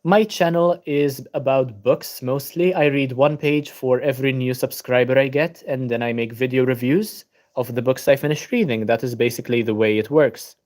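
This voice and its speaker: A man's voice: medium pitch, normal speed, few pauses. Tired young man chatting. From Malta. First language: Maltese. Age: young adult. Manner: relaxed, many ums, greets viewers by name. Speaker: book channel livestreamer. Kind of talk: casual livestream chat, reading viewer comments and answering. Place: at home at a laptop.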